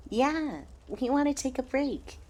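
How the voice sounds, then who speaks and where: A woman's voice: high-pitched, expressive, a little slow, a little mellow. A woman playing a cheeky young cabin kid, an impudent twin on a pirate ship in an audio roleplay. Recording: faint background noise and ambience.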